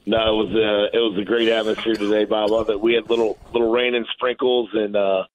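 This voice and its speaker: A man's voice: lowish voice, little pitch movement, fairly quick. A middle-aged man, a football coach, chatting casually as a guest in a radio interview and often repeating himself. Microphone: phone call. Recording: narrow tinny phone line.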